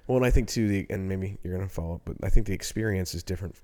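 A man's voice: sits low, very fast, dark tone. A man in his early forties talking casually and reflectively as co-host of a podcast discussion. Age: early 40s.

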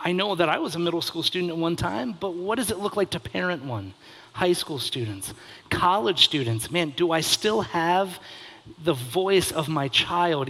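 Young-ish guy pastor, pretty high voice, chatty earnest sermon, repeats stuff and asks questions to make his point. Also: pushed and bright, rough recording. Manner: earnest, rhetorical questions and emphatic repetition. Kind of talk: conversational sermon.

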